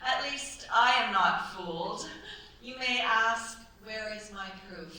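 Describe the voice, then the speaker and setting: An actress as a cold, suspicious older mother-in-law in a period stage radio play. She speaks pointedly in a bright, sharp voice. The room is very echoey and she is far from the mic. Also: mid-range voice. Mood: suspicious, insinuating. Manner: deliberate, pointed, insinuating. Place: cultural centre stage, live performance. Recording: very echoey stage, distant mic, faint noise.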